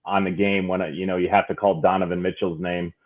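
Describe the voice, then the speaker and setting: A man's voice: deep voice, flat delivery, quick pace. A man co-hosting a podcast, asking rambling, playful questions. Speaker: podcast co-host. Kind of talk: casual podcast chat. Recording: tinny phone-like line.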